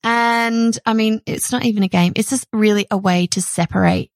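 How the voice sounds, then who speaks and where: A middle-aged woman hosting a solo podcast, talking in an animated, chatty way with a loud voice and frequent laughs. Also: medium pitch, normal speed, vocal fry, no room sound, studio quality. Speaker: podcast host.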